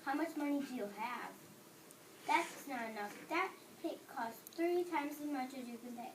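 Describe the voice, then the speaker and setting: A woman's voice: high voice, moderate pace, close to an everyday voice. A young woman playing a cross, miserly male baker in amateur readers theater, scolding in a stiff read-aloud way. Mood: impatient. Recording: noisy room, distant microphone.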